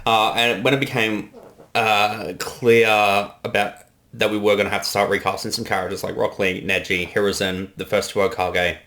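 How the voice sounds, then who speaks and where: Low-voiced man giving director's commentary. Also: level tone, normal speed, sharp tone. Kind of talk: casual behind-the-scenes commentary.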